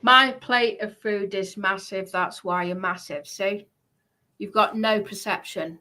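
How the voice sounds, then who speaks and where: A woman's voice: medium pitch, steady tone, measured pace, sharp tone, a little hiss or hum. A woman chatting and ranting casually on a livestream, blunt and sweary, repeating her points with emphasis. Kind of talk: casual livestream chat and rant.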